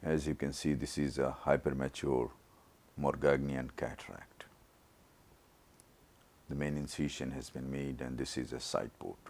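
Very deep, slow-talking male surgeon. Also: steady tone, a little mellow. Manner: slow, measured, step-by-step explanation. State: recovering from a throat infection, voice not normal. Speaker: eye surgeon narrating his surgery. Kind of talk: slow surgical teaching narration.

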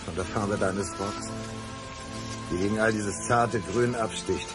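A man's voice: on the low side, fairly even intonation, unhurried, natural voice. An actor playing an obsessive, volatile man in a German radio crime drama, intense and insistent in manner. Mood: menacingly tender. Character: obsessive, volatile, alcohol-prone man, menacingly tender. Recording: studio radio drama with music.

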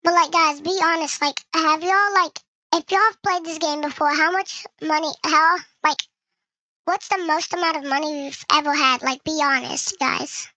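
A very bright, high voice run through a voice changer gives casual game commentary. It is creaky and sounds dry and close, chatting to viewers and thinking aloud while playing. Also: fairly even intonation, normal speed, dry recording. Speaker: gaming video creator.

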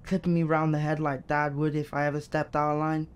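An actor playing a sullen, defiant, sarcastic teenage middle brother in an audio drama. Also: male voice, a little high, flat delivery, brisk, slight background noise, natural voice. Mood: resentful.

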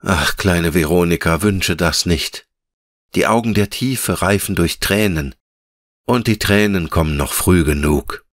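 A man voicing a wise, gentle female elf in a fairy-tale audiobook, speaking in a measured, patient and tender way. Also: low-pitched, no echo, studio quality. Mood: solemn, tender. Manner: measured, patient, lyrical explaining.

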